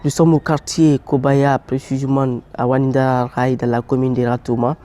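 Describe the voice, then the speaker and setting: A man's voice: medium pitch, little pitch movement, normal speed, warm tone. A man presenting a street-interview show in a formal, announcing way. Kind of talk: scripted presenting.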